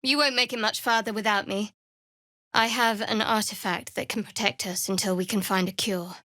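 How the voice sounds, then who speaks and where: A woman playing a guarded, sardonic young cleric in a fantasy video game, speaking in a measured, dry, wry way. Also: mid-range voice, steady tone, bright, forceful tone, dry recording, natural voice. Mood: guarded, earnest.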